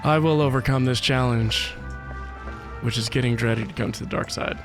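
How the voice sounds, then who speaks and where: A man's voice: mid-range voice, steady tone, normal speed, music in the background. A man chatting casually as a live game streamer, with a playful, theatrical and mock-dramatic manner.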